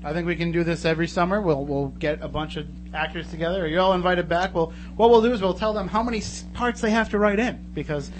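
Higher-voiced male radio host, noisy recording. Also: quick pace, hardly any pauses. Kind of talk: casual live radio interview. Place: radio studio. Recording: radio studio, loud noise and hum.

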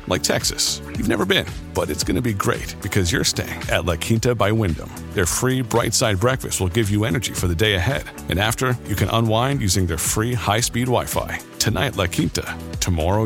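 A man's voice: fairly low pitch, expressive, moderate pace. A man reading a scripted podcast ad. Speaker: ad read. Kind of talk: scripted ad read. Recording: music bed behind.

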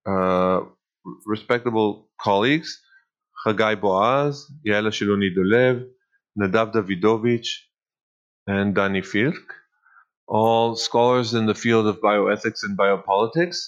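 A middle-aged man with a light Israeli accent, answering interview questions thoughtfully with hesitant pauses. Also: lowish voice, fairly even intonation, highs cut off. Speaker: guest scholar.

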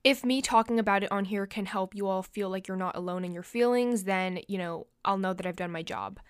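A woman's voice: mid-range voice, fairly quick, clear, resonant tone, dry recording, clean studio sound. A young woman hosting her own podcast, chatting casually and confidingly with lots of fillers, her nose a little stuffy from allergies. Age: young adult. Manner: chatty and confiding, many "like" and "you know" fillers. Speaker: podcast host. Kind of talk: casual solo podcast chat.

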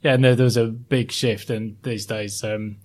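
A middle-aged man from Sheffield with a softened, well-spoken Northern English accent, answering interview questions with many ums and restarts. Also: lowish voice, steady tone, normal speed. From Sheffield, England. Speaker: guest, former chartered accountant.

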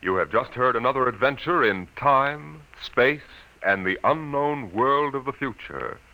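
Animated old-time radio announcer, male. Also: medium pitch, measured pace. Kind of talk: 1950s dramatic radio announcing. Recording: noisy old radio broadcast.

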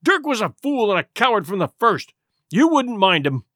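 A man voicing a villainous blind pirate beggar who shouts and curses imperious orders in a storybook reading. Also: high-pitched, big pitch swings, moderate pace, dry recording, clean studio sound, voice pushed up. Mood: raging, impatient.

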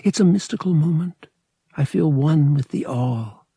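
A man voicing an earnest, devout young female pilgrim in an audiobook, reserved, wistful and softly spoken. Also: fairly high pitch, measured pace, slightly rough, dry recording, clean studio sound, voice pushed up, full character voice. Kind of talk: audiobook character acting.

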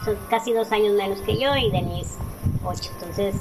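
A woman's voice: medium pitch, normal speed, music in the background, rough recording. A woman in her forties with a Mexican Spanish accent, telling her personal story conversationally as an interview guest. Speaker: interview guest, author and speaker.

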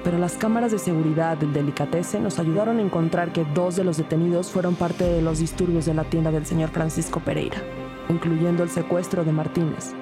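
A woman's voice: on the low side, steady tone, fairly quick, over music, close to an everyday voice. An actress playing a loyal, resolute female police detective in an audio drama, earnest and protective. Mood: grave, resolute. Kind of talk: audio fiction acting and scripted narration.

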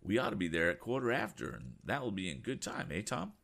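A man voicing an eccentric, excitable older gentleman in a storybook reading, with fussy exclamations. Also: on the low side, a little fast, vocal fry, pitched above the performer's own voice, full character voice. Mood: excited.

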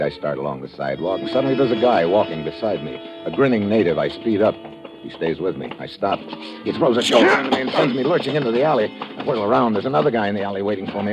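A man as a low-voiced hardboiled American adventurer. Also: lightly acted. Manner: clipped, sardonic. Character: tough, wisecracking male adventurer narrating his dangerous assignment. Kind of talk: 1950s hardboiled radio acting. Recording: dull vintage radio recording.

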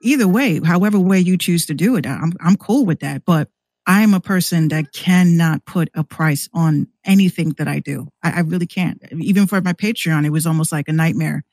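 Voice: medium pitch, moderate pace, a little mellow, no room sound. A podcast guest who hosts a show, talking casually and at length in a rambling, conversational way.

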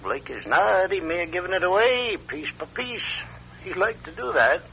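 Radio drama character: courteous, folksy, secretive man, highish gravelly voice, lively swings, dull recording. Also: normal speed, full character voice. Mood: cagey, courteous. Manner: clipped, folksy, drops sentence subjects. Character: folksy, cagey, courteous male local acquaintance. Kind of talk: classic radio drama acting. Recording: dull, band-limited radio sound.

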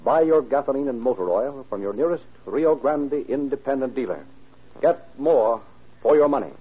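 A man's voice: medium pitch, lively intonation, moderate pace, slightly rough, phone-quality sound. A man announcing and narrating in formal, emphatic 1930s radio sales style. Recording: band-limited old radio broadcast.

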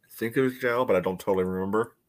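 Male sports podcast panelist, lowish voice, casual analysis, measured and hedging delivery.

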